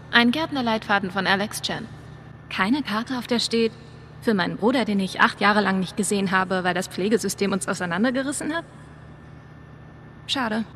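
A woman's voice: mid-range voice, normal speed, a bit bright, slight background noise, close to an everyday voice. Game lead, female actor: young woman, dry and friendly, mid-range voice, natural delivery. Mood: wry, musing. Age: young adult. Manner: dry, casual. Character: wry, friendly young woman, long separated from her brother. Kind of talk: naturalistic video game dialogue and inner monologue.

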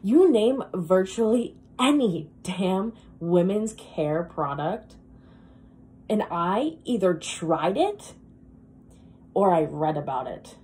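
Woman acting a slow-spoken, wistful, self-deprecating woman. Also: fairly low pitch, big pitch swings, mellow. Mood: earnest, frustrated. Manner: halting, emphatic, confessional, with long pauses. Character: wistful, self-deprecating woman who longs to feel beautiful. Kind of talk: dramatic monologue performance.

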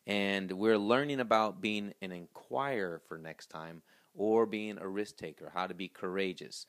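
A man's voice: low voice, unhurried, dry recording, studio quality. A man in his thirties hosting a school video in a small office, upbeat and presenting to camera with a handheld mic. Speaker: school host. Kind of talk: school announcement interview.

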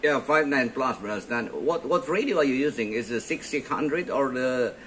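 A man's voice: mid-range voice, animated, moderate pace, a bit bright. A man with an Indonesian accent working as a ham radio operator, chatting and repeating his phrases. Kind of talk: ham radio contact chat. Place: indoors at a radio set. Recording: faint hiss beside radio set.

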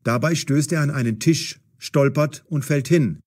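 A man narrating a scripted audio story for language learners in a deliberate, storytelling way. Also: a little high, a little mellow, a little hiss or hum.

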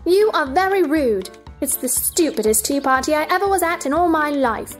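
A woman's voice: sits high, animated, normal speed, soft background music. A woman playing a curious, polite but argumentative young girl in a stage play reading, prim and questioning. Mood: indignant.

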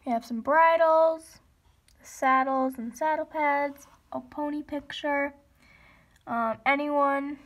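A woman with a high, light voice casually leads a tour of her model horse barn. She strings the names together one after another with "and then". It sounds like a phone recording in a room at home, with a faint hiss underneath. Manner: lists names in a steady run of "and then". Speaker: model horse hobby vlogger. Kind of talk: casual guided tour narration.

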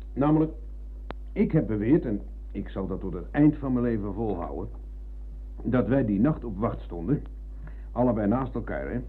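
An actor playing a forgetful old war veteran in a radio play, husky and halting as he rambles. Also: fairly low pitch. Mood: insistent, storytelling. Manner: hesitant, halting, peppering talk with tag questions. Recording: thin, phone-like old radio recording.

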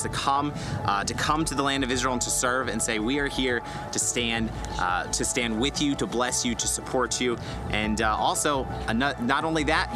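A young man in his twenties hosting a vlog outdoors in a vineyard, talking energetically straight to the viewers. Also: medium pitch. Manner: energetic, addresses viewers directly. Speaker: host. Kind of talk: upbeat vlog presenting to camera. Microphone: clip-on lapel mic.

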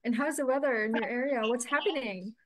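A woman's voice: on the high side, fairly quick, fairly bright tone, dry recording, rough recording. A woman hosting an online alumni meetup over a video call, chatty and friendly, with many fillers. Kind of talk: casual moderating on video call.

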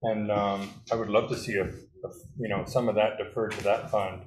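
A man's voice: on the low side, little pitch movement, normal speed. Man chairing a town meeting. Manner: friendly, rambling, with restarts. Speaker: board chair. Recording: echoey meeting room.

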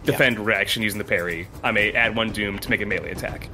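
A man's voice: lowish voice, fast talker, bright, forceful tone, soft background music. A man playing a tabletop game, chatting casually and jokingly with slangy, profane phrasing.

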